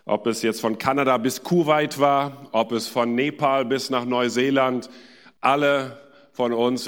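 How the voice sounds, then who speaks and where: A man from India preaching as a guest, telling personal anecdotes in an informal, hesitant way with many pauses. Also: medium pitch. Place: large church hall. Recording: echoey hall with long reverb.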